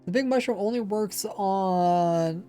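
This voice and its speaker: A man's voice: high-pitched, slow pace, warm tone, faint music behind. A man streaming a Minecraft session, chatting casually and rambling as he plays, with slightly mumbled, lazy pronunciation and a faint accent. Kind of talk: casual livestream chat while playing.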